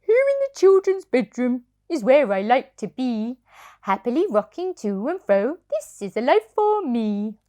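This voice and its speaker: A woman's voice: high voice, sing-song, measured pace, dark tone. A woman voicing a male rocking horse in a storybook, wistful and eager for adventure, speaking in sing-song rhyme. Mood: contented, cheerful.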